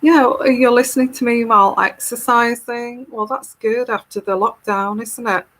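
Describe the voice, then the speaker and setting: A woman with a calming, soothing voice, hosting a live tarot stream and chatting casually as she reads viewer comments. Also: fairly high pitch. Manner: unhurried, chatty and rambling.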